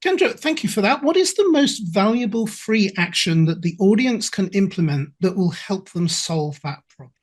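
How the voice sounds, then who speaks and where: A middle-aged English woman hosting a business podcast, chatty and effusive. Her voice is husky and a little breathy. Her pitch moves up and down a lot as she talks. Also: lively intonation, normal speed, hardly any pauses. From England. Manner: chatty, effusive, with playful asides. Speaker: podcast host and accountability coach. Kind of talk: podcast interview.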